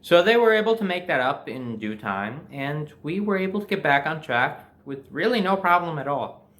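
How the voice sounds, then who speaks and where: A man's voice: on the high side, expressive, moderate pace, fairly bright tone. A young adult man with an American accent tells a personal story in a casual, conversational and emphatic way. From New Jersey, United States. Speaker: storyteller, host.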